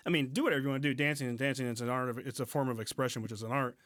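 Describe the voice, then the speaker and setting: A man with a mid-pitched voice talks casually on a podcast. His delivery is informal and a bit halting, recorded close and dry with very little room sound. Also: fairly even intonation, fairly bright tone, no echo. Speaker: podcast co-host. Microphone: close podcast mic on remote recording.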